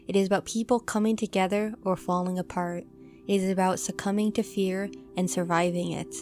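A woman reading scripted narration for a horror audio drama trailer in a measured, dramatic delivery. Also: medium pitch, fairly even intonation, a little mellow. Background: music.

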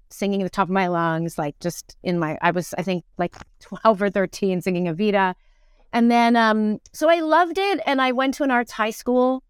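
A middle-aged woman chatting reflectively as an interview guest, animated and thinking aloud, often doubling back on her words. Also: medium pitch, smooth, no room sound, studio quality. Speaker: interview guest, musical theatre actor. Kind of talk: casual reflective conversation.

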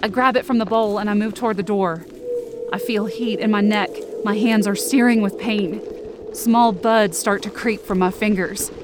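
A woman's voice: mid-range voice, steady tone, normal speed, noisy background, lightly acted. A woman playing a wounded, angry, defiant young woman, narrating in the first person with intense feeling in an audio drama. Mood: urgent, distraught. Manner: intense, emotive.

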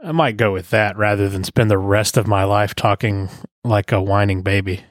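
A man's voice: fairly low pitch, fairly even intonation, dry recording, clean studio sound. A man co-hosting a casual podcast, joking around and trailing off mid-thought. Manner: joking, quick asides, trailing off. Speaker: podcast co-host. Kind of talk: casual banter.

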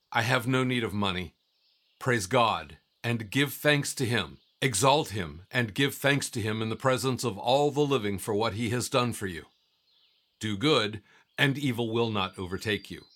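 A man playing a calm, authoritative archangel in a religious audio drama, solemn and measured in delivery. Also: mid-range voice. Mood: solemn, gentle. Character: calm, authoritative male archangel revealing himself.

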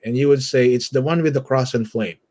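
A man from the Philippines with a Filipino accent and a husky voice, telling his story conversationally as an interview guest. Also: mid-range voice, fairly quick, a little mellow. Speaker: church elder and guest.

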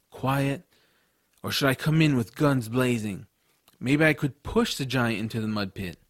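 Audiobook lead: sincere young hero, first-person storyteller, medium register, dry studio sound. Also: male voice, mid-range voice, husky, dry recording. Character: earnest, kind-hearted adventurous boy narrating his story.

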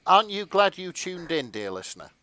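A man's voice: high-pitched, sing-song, brisk, pushed and bright, no room sound. A man co-hosting a podcast, chatting casually in a teasing, sardonic way. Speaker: podcast co-host. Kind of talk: casual banter.

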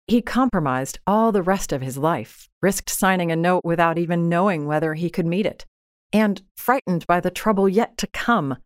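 A woman narrating a literary short story in a measured, expressive, scripted style. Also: fairly low pitch, no room sound, clean studio sound.

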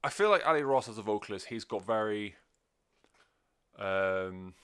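A man's voice: fairly low pitch, animated, normal speed, fairly bright tone, clean tone. A man talking casually and off the cuff as a music reviewer, rambling, sweary and self-deprecating. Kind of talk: casual unscripted review chat.

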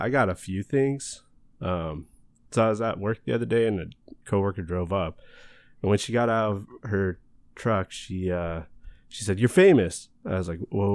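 A man's voice: fairly low pitch, no room sound, clean studio sound. A man hosting a casual podcast, talking in a friendly, rambling way and laughing easily. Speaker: podcast host. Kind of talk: casual chat.

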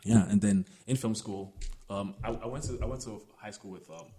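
A man's voice: on the low side, brisk, breathy edge. A young man, a filmmaker, chatting in an easygoing way, full of "like" and "you know" and some laughter. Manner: relaxed, many fillers like "like" and "you know", laughs. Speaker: filmmaker guest. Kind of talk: casual conversation.

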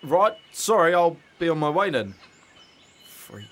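A man acts a suspicious, bullying guard in a comic tale. The voice is slightly high and rises and falls a lot. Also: sing-song, a little slow, fairly bright tone. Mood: uneasy, dismissive.

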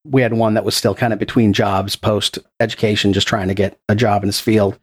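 Earnest low-voiced man explaining his podcast. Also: level tone, brisk, breathy edge. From Texas. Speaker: podcast producer and game master. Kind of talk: casual interview answers. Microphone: podcast microphone.

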